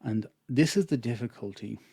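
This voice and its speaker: A man with an Irish accent, an English teacher explaining a lesson over slides in a measured, patient manner. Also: medium pitch, warm tone, slightly rough, no room sound. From Ireland.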